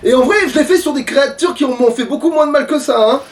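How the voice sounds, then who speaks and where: A man playing a video game and chatting casually, foul-mouthed and prone to exasperated grumbling and shouting. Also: very high-pitched, fast, recorded from a distance. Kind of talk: casual let's play chat.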